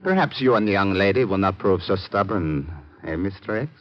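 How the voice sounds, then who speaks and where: An actor playing a suave, menacing foreign spymaster with mock-courteous, formal speech in a 1950s radio drama. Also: male voice, low voice, expressive, brisk. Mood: veiled menace. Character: suave, menacing foreign enemy spy chief. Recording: muffled, noisy old radio broadcast.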